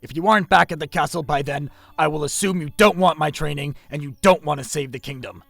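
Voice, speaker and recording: A man voicing a solitary male warrior mentor in an audio drama, grave and measured, with a gravelly voice. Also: a little high, fairly bright tone, no room sound, faint music behind.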